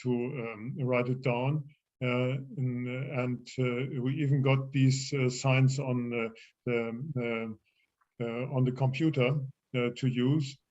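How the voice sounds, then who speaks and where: A man with a German accent explaining things as a language teacher in English, haltingly searching for words. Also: mid-range voice, little pitch movement, a little mellow, lo-fi sound. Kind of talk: explaining in an online language class.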